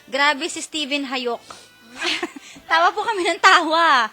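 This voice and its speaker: A woman's voice: high-pitched, takes it slowly, pushed and bright, faint music behind. A playful, giggly Filipino woman DJ chatting casually on the radio. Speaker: radio DJ and voice performer. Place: radio studio.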